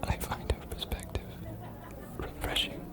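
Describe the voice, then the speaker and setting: A performer playing a courtly, bookish ancient vampire mentor in an ASMR roleplay, speaking in a breathy whisper with a formal, confiding manner. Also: lightly acted. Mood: confiding, admiring. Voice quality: breathy whisper, almost no voicing. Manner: measured, formal, confiding, philosophical.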